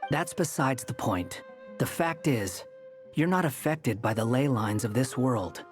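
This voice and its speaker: An actor playing a sardonic, aloof young traveling scholar in a video game, dry and smug. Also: male voice, mid-range voice, lively intonation, unhurried, soft background music, lightly acted. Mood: serious.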